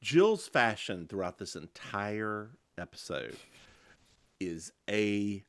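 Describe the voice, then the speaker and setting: A man co-hosting a podcast, recapping a TV episode in casual, campy chat with animated storytelling. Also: slow pace, no echo. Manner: animated, campy storytelling with sudden high-pitched impressions. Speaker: podcast co-host.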